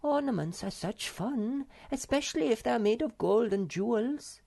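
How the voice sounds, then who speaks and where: A woman voicing a small, timid, sweet and excitable young female creature with a childlike, hesitant manner, for a storybook. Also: on the high side, moderate pace, creaky, pitched above the performer's own voice. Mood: sweet, coaxing.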